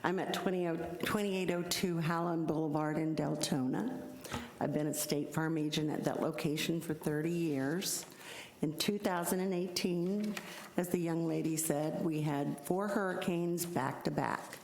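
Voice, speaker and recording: Middle-aged woman, low and loud, pleading her case at a hearing; roomy hall sound. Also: fairly even intonation, unhurried, a little hiss or hum. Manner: earnest, explanatory, self-described loud voice. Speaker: insurance agent addressing a code hearing. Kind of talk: public hearing testimony. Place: city hall hearing room. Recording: echoey hall from council mic.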